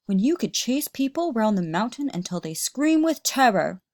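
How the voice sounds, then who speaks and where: A woman's voice: fairly high pitch, big pitch swings, moderate pace, darkish tone, no room sound, clean studio sound. A woman voicing a huge, hearty, affectionate yeti father in a children's storybook. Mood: booming.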